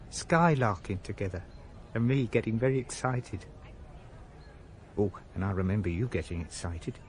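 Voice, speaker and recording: Actor as whimsical, diffident middle-aged English shopkeeper. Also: male voice, mid-range voice, a little mellow, slight background noise, natural voice. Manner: hesitant, muses with odd mangled long words. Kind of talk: British radio drama acting.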